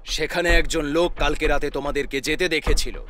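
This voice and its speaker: A man playing a stern, accusing lawyer in a horror drama, questioning and stating things deliberately. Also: on the high side, bright, forceful tone. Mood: probing. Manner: deliberate, interrogating. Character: stern, accusing male lawyer seeking justice. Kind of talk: dramatised horror story acting.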